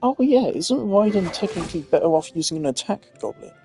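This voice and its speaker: A man's voice: high voice, sing-song, a little fast, vocal fry, warm tone, soft background music. A man giving casual gameplay commentary, rambling as he thinks aloud. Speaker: gamer.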